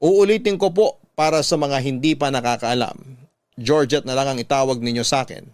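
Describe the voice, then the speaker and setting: A man narrates a letter in scripted, classic radio storytelling style. His voice is medium in pitch with a Filipino accent, and the recording is dry and close. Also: fairly quick, clear, resonant tone, no room sound. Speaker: podcast narrator reading a listener's letter.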